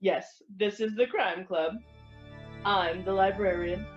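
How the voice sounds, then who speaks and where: A woman playing a genteel, brisk librarian who hosts radio mysteries, polite and theatrical. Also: medium pitch, fairly even intonation, lightly acted. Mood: brisk, teasing. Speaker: host narrator. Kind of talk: vintage radio mystery hosting. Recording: dull lo-fi recording under music.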